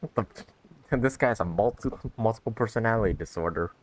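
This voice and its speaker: Low-voiced man commenting on a game. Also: animated, fast. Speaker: gaming video host. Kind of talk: casual playthrough commentary. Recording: background noise and game ambience.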